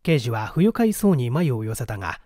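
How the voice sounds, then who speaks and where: Male audiobook narrator, mellow and dry-sounding, dramatic storytelling style. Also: mid-range voice, big pitch swings, fairly quick, dry recording.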